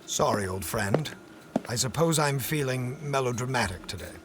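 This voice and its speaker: A man's voice: mid-range voice, expressive, moderate pace, noisy background, lightly acted. A man playing an obsessive, grandiose scientist in an audio drama. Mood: subdued. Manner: theatrical, grandiose. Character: obsessive, melodramatic male scientist seeking other worlds. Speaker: actor.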